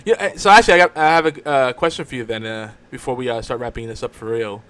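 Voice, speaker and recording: A man's voice: medium pitch, fast talker, fairly bright tone, clear, resonant tone. A man co-hosting a casual talk show, bantering about films in an easygoing, agreeable, conversational way. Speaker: co-host of an audio drama talk show.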